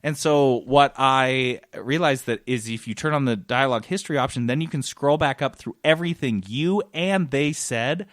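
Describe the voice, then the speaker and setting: A man's voice: medium pitch, moderate pace, few pauses, fairly bright tone, dry recording, studio quality. A man co-hosting a podcast, talking casually about video games in an enthusiastic, rambling way as he explains things. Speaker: podcast co-host. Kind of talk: casual video game chat.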